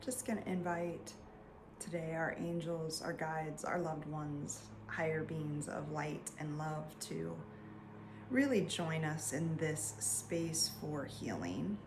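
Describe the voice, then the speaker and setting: A woman leading a guided meditation in a soft, soothing voice, leaving long pauses and sometimes trailing near a whisper. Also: low voice, background noise. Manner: soothing, unhurried, with long pauses between phrases. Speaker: meditation guide and energy healer.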